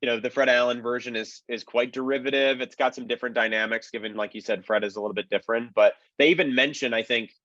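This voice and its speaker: The sound is a little dull and very dry. A man with a medium-pitched voice gives casual, analytical panel commentary, qualifying his points as he explains. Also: steady tone, fast, hardly any pauses, fairly bright tone, no echo.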